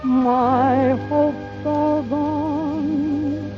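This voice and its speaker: A woman's voice: high voice, fairly even intonation, drawn-out words, over music. A woman singing a torch song in the style of 1930s radio, with musical accompaniment. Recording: dull lo-fi 1930s radio recording.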